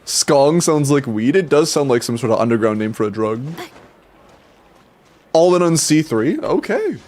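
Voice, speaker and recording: A man's voice: medium pitch, big pitch swings, moderate pace, a little mellow. Stuffy young man chatting on stream. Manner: rambling, restarts, swears casually, laughs often. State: stuffy, congested from sinuses. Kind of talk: casual livestream chat with viewers.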